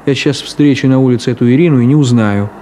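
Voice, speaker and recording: A man's voice: medium pitch, fast, mellow, close to an everyday voice. Actor as cynical middle-aged man, hissy old recording. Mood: dismissive, ironic. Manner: ironic, clipped, theatrical delivery. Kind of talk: 1970s Soviet radio play acting. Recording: hissy 1970s radio play recording.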